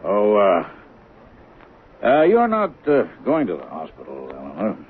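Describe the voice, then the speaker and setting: An actor playing a smooth-talking but evasive, hesitant soap-opera studio boss in a radio drama. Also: male voice, lowish voice, wildly swooping pitch, heavily acted. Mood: awkward, evasive. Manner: hesitant, halting. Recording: tinny, noisy 1970s radio recording.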